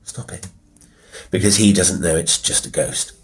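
A British man hosting a solo podcast, talking informally in a rambling, wry, self-deprecating way with a husky voice. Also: low-pitched, steady tone, unhurried. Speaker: horror podcast host and writer. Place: quiet room with a piano at work. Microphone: wireless Bluetooth mic into a phone.

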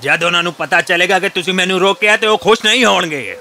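A man's voice: sits high, fast talker, background noise. A man voicing a clever, polite and self-assured talking cat in boots for a children's fairy tale cartoon. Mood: courtly. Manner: polite, self-assured, addresses others as master.

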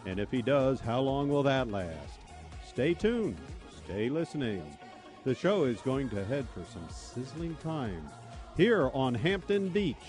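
A man's voice: mid-range voice, very animated, a little slow. A man playing a dramatic reality show announcer, narrating teasers in a radio play. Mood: hyped, teasing. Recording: live radio studio, music under voice.

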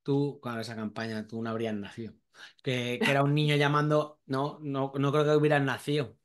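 A man's voice: medium pitch, level tone, a little fast. A middle-aged man with a Spain Spanish accent, hosting a casual, chatty interview over a video call. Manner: informal, chatty. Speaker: podcast host. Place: small home room, indoors.

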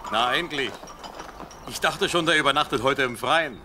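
An actor playing a brusque young farmer's son in a Bible audio drama, with farmyard fowl behind. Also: male voice, mid-range voice, normal speed, background noise, natural voice. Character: David's older brother, a brusque young farmhand.

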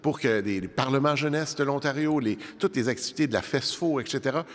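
A middle-aged man argues as a debate panelist, animated and conversational, with quick asides. His voice sits at a middling pitch for a man. It carries the long reverb of a large studio hall. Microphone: desk microphone.

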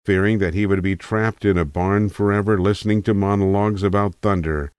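A man's voice: fairly low pitch, steady tone, fairly quick, a little mellow, smooth, dry recording, studio quality. A man reading scripted literary narration as a storyteller.

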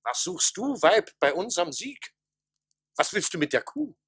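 An actor playing a pompous, henpecked town mayor with theatrical radio play delivery. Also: male voice, high-pitched, very animated, normal speed, noisy background. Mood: haughty. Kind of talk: stage-like radio play acting.

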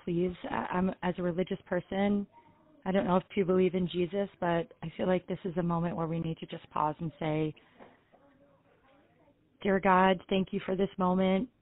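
A middle-aged woman playing an anxious, pious mother on a comedy prank call, speaking haltingly and pleadingly. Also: fairly low pitch, level tone, phone-quality sound, natural voice. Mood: earnest, solemn. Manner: hesitant, halting, pleading, earnest. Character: flustered, pious, anxious mother seeking advice. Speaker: prank caller on a comedy show. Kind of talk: improvised prank phone call.

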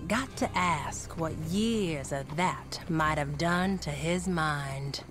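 A woman's voice: sits low, slow, music in the background. An actress playing a weary frontier woman with a grim drawl.

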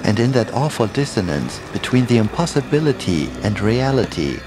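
A man's voice: fairly low pitch, very animated, normal speed, background noise. A man reading a scripted first-person horror story as its narrator. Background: music behind the voice.

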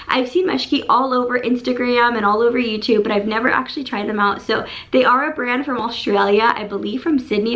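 A woman in her thirties with a hoarse voice, chatting casually to camera in her bedroom as she unboxes and shows off dresses, gushing and full of fillers. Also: fairly high pitch, fairly bright tone. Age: 30s. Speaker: beauty and fashion YouTuber. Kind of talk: casual try-on haul chat. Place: bedroom indoors.

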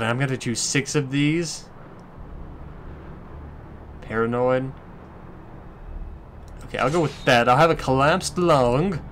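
A man's voice: mid-range voice, fairly bright tone, slight background noise. A man giving casual, crude, sweary gameplay commentary, musing aloud as he goes. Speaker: let's play commentator.

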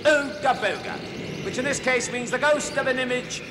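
A man's voice: extremely high pitch, moderate pace, noisy background. An actor playing a jolly, chatty male zoo host who gushes to the audience and coos at the animals. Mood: gushing. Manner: chummy, gushing, talks to the audience and animals. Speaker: zoo show host character. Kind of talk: comedy sketch acting.

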